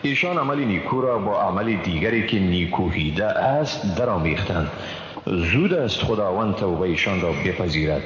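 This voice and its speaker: A lowish male voice with an Afghan Dari accent rings out in long reverb, a little muffled. He reads translated scripture formally, with solemn and careful phrasing. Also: animated. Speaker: translation reader. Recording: long reverb, dull, faint noise.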